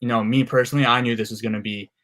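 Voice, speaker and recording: A man from Canada, a guest co-host giving casual sports analysis in a steady, measured way with frequent "uh"s. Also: mid-range voice.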